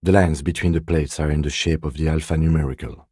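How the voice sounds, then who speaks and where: A meticulous male police detective from a video game, with an extremely low, mellow voice. He is deadpan and restrained, measuring out each remark with dry sternness. The voice is slightly husky. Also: fast, no room sound. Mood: deadpan, stern. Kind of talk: scripted game dialogue.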